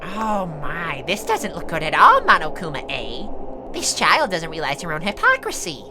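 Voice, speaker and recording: A woman's voice: mid-range voice, big pitch swings, moderate pace, noisy background, close to an everyday voice. A woman voicing a gleefully sadistic, theatrical teenage girl villain in an anime fan dub, taunting and melodramatic. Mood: mock concern. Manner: taunting, melodramatic, mock-sweet.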